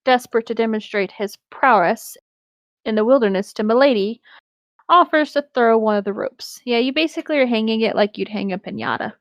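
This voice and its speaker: Higher-voiced woman casually narrating a story. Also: brisk, no echo. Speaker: co-host reading an online story aloud.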